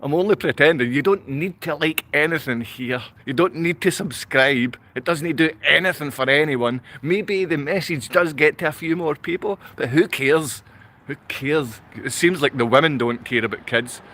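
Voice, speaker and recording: A man's voice: fairly high pitch, normal speed, a bit bright. A man from Glasgow with a Glaswegian Scottish accent, talking unscripted to livestream viewers in a rambling, repetitive way full of rhetorical questions. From Glasgow, Scotland.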